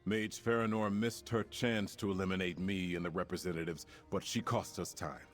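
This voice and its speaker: Man acting a fantasy game war leader, low voice, measured, formal, resolute, background music. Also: steady tone, natural voice. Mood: formal resolve. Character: formal, resolute male commander of a war alliance. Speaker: quest-giver in a fantasy video game. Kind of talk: scripted game dialogue.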